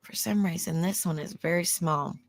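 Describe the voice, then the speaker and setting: A woman with a Southern US accent and a soft, soothing voice hosts a livestream. She chats in a rambling way, reads aloud and answers viewer comments. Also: fairly low pitch, moderate pace. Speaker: livestream host. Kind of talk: casual livestream chat, reading aloud.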